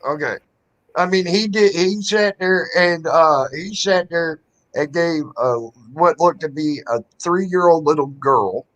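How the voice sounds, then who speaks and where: Male stream host, slightly high voice, profane, opinionated, rambling delivery. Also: unhurried, fairly bright tone, poor audio. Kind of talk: casual livestream chat.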